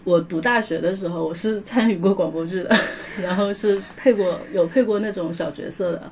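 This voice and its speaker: A woman's voice: lowish voice, fairly quick, crowd noise. A young woman chatting casually as a podcast panelist.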